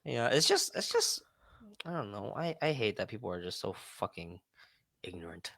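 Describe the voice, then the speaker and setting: Young male co-host, bright and sharp, swings his pitch a lot, sardonic and profane, dry close sound. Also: sing-song, normal speed, dry recording. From Chicago, United States. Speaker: podcast co-host. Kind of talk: casual chat.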